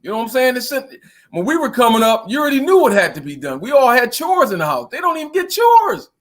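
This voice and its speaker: A man's voice: very high-pitched, sing-song, quick pace. A man on a casual discussion panel, talking in a slangy, exasperated way and laughing between points. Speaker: podcast panelist.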